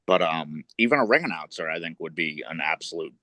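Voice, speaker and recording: A man's voice: very deep voice, expressive, brisk, bright, forceful tone, no echo, clean studio sound. A middle-aged man, a wrestler and podcast co-host, talking at length in casual chat, swearing and building long points.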